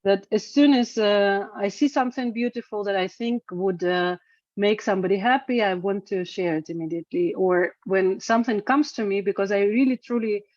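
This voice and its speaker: A woman's voice: medium pitch, moderate pace, a little mellow, no room sound. A woman, a singer-songwriter speaking as an interview guest, reflective and searching for her words. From Eastern Europe. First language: Russian. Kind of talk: casual interview talk. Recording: dry, dull lo-fi sound.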